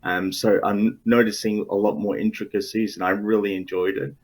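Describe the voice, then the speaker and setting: Man, Australian accent, lowish pitch, hesitant, casual interviewer. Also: little pitch movement, normal speed. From Australia, Perth. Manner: hesitant, many ums, laughs easily.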